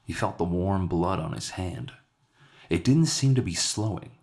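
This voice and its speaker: A man's voice: deep voice, expressive, measured pace, darkish tone. A man reading a scripted dramatic short story aloud as its narrator. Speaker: author narrating his own story.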